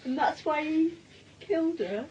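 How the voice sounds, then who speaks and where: An actress playing a frightened, distraught wife in a radio drama. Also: female voice, sits high, lively intonation, a little slow, darkish tone, lo-fi sound. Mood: despairing. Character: frightened, distraught wife and mother caught in an affair. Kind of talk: naturalistic radio drama acting.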